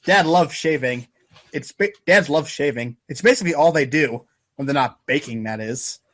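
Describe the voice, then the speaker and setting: Guy narrating a comic out loud, higher-ish voice, playful and theatrical. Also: normal speed. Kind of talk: playful dramatic reading of a webcomic.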